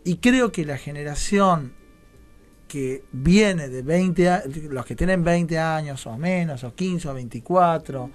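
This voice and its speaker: A man's voice: a little high, takes it slowly. An Argentine man in a reflective radio discussion as a guest theatre director, philosophizing and often checking for agreement. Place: radio studio.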